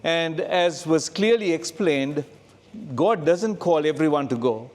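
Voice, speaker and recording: A man's voice: a little high, expressive, takes it slowly, a little mellow. A middle-aged man preaching as a guest in a church, talking in a conversational way with frequent fillers. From Sri Lanka. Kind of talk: sermon. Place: church sanctuary.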